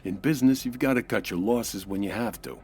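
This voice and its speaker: An actor playing a sardonic, menacing gangster boss in a comedic old-time radio crime drama, husky and clipped. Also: male voice, on the low side, brisk, darkish tone. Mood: cold, impatient. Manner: clipped wisecracking tough-guy talk.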